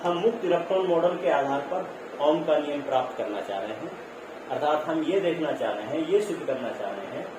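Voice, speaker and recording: A man's voice: a little high, lively intonation, a little fast. A man teaching physics in an explanatory, repetitive way, checking in as he goes and mixing in English terms. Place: echoey room. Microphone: distant microphone. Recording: very echoey, distant mic, clear noise.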